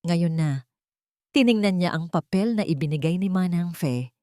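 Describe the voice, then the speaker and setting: Female narrator for serialized love story: fairly low voice, expressive, animated intonation, scripted dramatic delivery. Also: moderate pace, clear, resonant tone, dry recording, clean studio sound.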